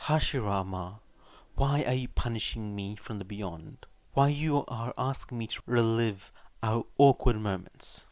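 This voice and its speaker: A man's voice: lowish voice, a little slow, no echo. A man voicing a stern, imperious old retired leader in a story, curt and commanding. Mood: weary.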